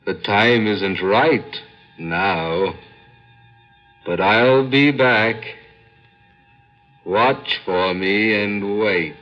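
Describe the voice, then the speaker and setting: An actor playing a vengeful, menacing ghost in an old radio horror play, drawing out ominous threats. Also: male voice, fairly low pitch. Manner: slow, drawn-out, ominous threats. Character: vengeful ghost of a slain man, slow and menacing. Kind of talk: 1940s radio horror acting. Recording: muffled old radio recording with music.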